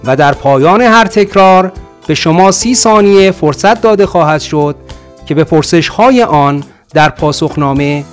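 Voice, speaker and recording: A man's voice: a little high, animated, very fast, clean tone, soft background music. A man reading scripted listening-test instructions in a formal, announcing tone. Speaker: exam narrator.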